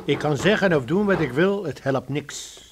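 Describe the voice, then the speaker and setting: A man's voice: fairly high pitch, lively intonation, normal speed, noisy background, close to an everyday voice. A man playing a weary, wry neighbor. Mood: resigned. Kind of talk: radio drama acting.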